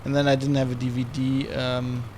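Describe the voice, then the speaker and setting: A man's voice: mid-range voice, monotone, moderate pace, a little mellow, slight background noise. A man giving rambling, casual commentary while streaming a flight sim. He restarts and corrects himself often. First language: German.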